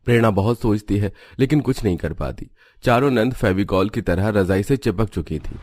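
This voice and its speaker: Male storyteller for animated family tale, lowish voice, scripted narration. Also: moderate pace, dry recording.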